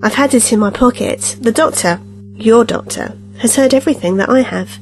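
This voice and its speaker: Composed female ally, acted by a woman: fairly high voice, matter-of-fact and reassuring, sci-fi audio drama. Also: normal speed, soft background music, lightly acted, poor audio. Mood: conspiratorial, assured. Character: calm, knowing female ally with a secret plan. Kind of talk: science-fiction audio drama acting.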